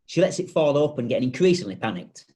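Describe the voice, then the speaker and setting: A man's voice: medium pitch, very animated, quick pace, mellow. A man reading stage directions as scripted narration.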